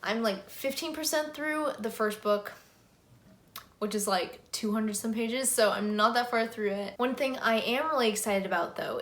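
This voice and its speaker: A young woman with a fairly high voice talks casually to her viewers as a vlogger. Her delivery is enthusiastic and conversational, like an informal chat about favorite things. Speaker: book vlogger.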